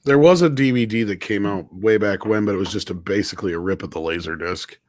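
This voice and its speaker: A man's voice: lowish voice, fast talker, dry recording, studio quality. A man chatting casually as a panelist, wry and teasing. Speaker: show panelist. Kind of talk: casual chat.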